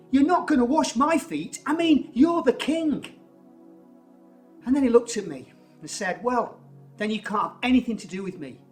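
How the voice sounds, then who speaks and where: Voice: medium pitch, close to an everyday voice. A performer playing the apostle Peter, retelling events in a blunt, emphatic way. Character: apostle Peter, adult man, impulsive and stubborn. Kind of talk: first-person dramatic monologue.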